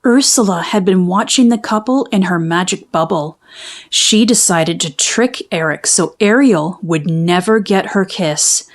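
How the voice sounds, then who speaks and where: A woman narrating a children's storybook in an expressive, measured storytelling manner. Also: lowish voice. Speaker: children's book read-aloud narrator.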